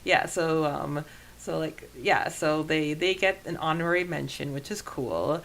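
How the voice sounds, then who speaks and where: A woman's voice: low-pitched, a little slow, vocal fry, a bit bright. A woman co-hosting a podcast, talking in a chatty, informal way about a book. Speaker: podcast co-host. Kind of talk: casual book discussion chat.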